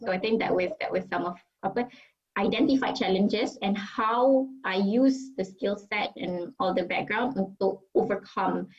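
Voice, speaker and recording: A woman's voice: medium pitch, moderate pace, breathy edge, highs cut off. A Malaysian woman doctor with a Malaysian English accent talks casually as an interview guest, mixing English and Malay and using many fillers. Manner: switches between English and Malay mid-sentence, many fillers.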